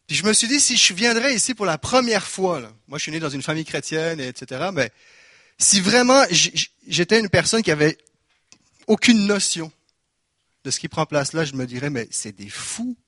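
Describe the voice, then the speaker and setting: A man from Quebec with a Québécois French accent, preaching a lively sermon in a church, full of rhetorical questions and restarts. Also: on the high side, moderate pace, no room sound, studio quality.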